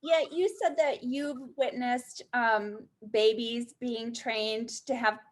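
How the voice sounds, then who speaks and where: A woman in her forties hosting a podcast, asking questions in a relaxed way. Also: a little high, takes it slowly. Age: 40s. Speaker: podcast host. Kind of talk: casual podcast interview.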